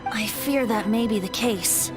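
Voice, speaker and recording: A woman's voice: medium pitch, measured pace, loud music behind the voice, close to an everyday voice. A woman voicing a whimsical, musing young male bard in a video game, lightly theatrical and thoughtful. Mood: solemn.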